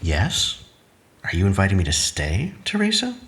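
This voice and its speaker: An actor playing a sly, smug villainous brother in an audio drama, with urbane, mocking formality. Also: male voice, low voice, wildly swooping pitch, normal speed, a bit bright, slightly rough, dry recording, exaggerated voice. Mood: courteous, mocking.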